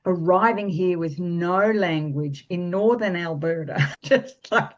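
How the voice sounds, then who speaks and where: A woman host leading a conversational interview, encouraging and quick to affirm, with halting restarts as she frames her questions. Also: fairly low pitch. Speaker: podcast host. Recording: slightly dull, lo-fi audio.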